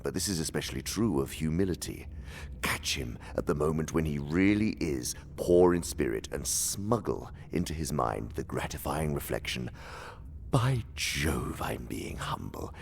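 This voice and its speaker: Very deep, gravelly, haughty demon uncle. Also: male voice, a little mellow, a little hiss or hum, full character voice. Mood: scheming, instructive. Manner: pompous, theatrical, condescending lecturing. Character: senior male demon, haughty scheming uncle and mentor. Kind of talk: radio drama acting.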